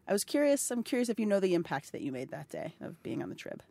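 A woman's voice: mid-range voice, sing-song, fast talker, vocal fry, no echo. Encouraging woman in her thirties asking questions. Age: 30s. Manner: warm, encouraging, asks follow-up questions. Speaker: interviewer, health advocate. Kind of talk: recorded personal conversation. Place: indoors at a cultural center.

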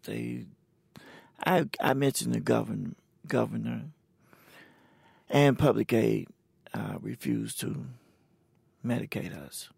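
Gravelly, husky man in his sixties. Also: lowish voice, big pitch swings, darkish tone, no room sound, clean studio sound. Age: 60s. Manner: plain, halting, unhurried storytelling. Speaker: interviewee. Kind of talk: recorded personal conversation. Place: indoors at a cultural center.

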